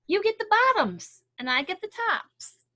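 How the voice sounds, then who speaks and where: A woman's voice: extremely high pitch, big pitch swings, normal speed, pitched above the performer's own voice, heavily acted. A woman voicing a clever, cheerful male hare with coaxing salesmanship in a picture-book read-aloud. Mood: cheerful, calling out. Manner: bright calling, coaxing salesmanship.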